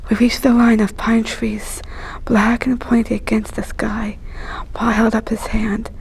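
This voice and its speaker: Breathy, husky woman reading a story slowly. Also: fairly high pitch, level tone, rough recording. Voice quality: breathy, whispery. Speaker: storyteller reading a picture book aloud. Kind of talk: slow, hushed read-aloud storytelling.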